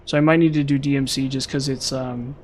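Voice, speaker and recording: A young man streaming a game, chatting casually with viewers in a rambling way, his voice slightly hoarse from long talking. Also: medium pitch, flat delivery, fairly quick, slight background noise. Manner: rambling, lots of "like" and "um". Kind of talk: casual live-stream chat while gaming.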